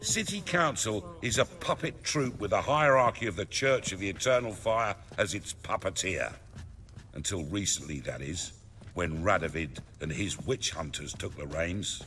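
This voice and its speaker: An actor playing a confident, scheming middle-aged underworld boss in a fantasy video game, speechifying in measured, colloquial English. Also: male voice, low-pitched, animated, sharp tone, slight background noise, full character voice. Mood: scornful, fervent. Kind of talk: dramatic video game dialogue.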